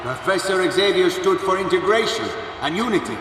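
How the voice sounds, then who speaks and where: A man's voice: high voice, animated, a little fast, recorded from a distance, background noise. A man voicing an impassioned peacekeeping-force leader in a video game, declaiming emphatically like a politician at a rally. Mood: solemn, rousing. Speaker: public speaker. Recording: echoey public-address hall, loud music and crowd.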